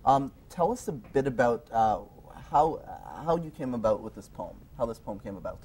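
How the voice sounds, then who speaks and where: A man's voice: mid-range voice, animated, moderate pace, darkish tone, husky. A young man co-hosting a daytime TV show from a studio set, asking friendly but rambling questions with restarts. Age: young adult. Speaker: TV show co-host. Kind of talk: daytime TV interview.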